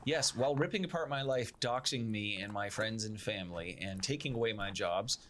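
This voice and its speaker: Sarcastic man narrating over clear noise. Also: lowish voice, normal speed. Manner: sarcastic, theatrical. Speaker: YouTuber. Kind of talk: scripted video narration.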